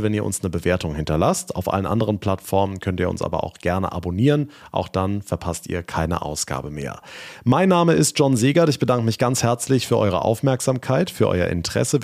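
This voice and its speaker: A man with a fairly low voice hosts a daily regional news podcast. He reads the headlines and questions his reporters in a friendly, conversational tone. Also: creaky, a little mellow.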